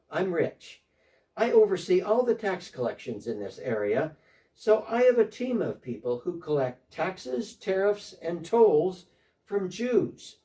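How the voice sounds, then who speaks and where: A man's voice: high-pitched, warm tone, distant mic, heavily acted. A man playing a defensive, talkative tax collector in a church dramatic reading, speaking one side of a phone call.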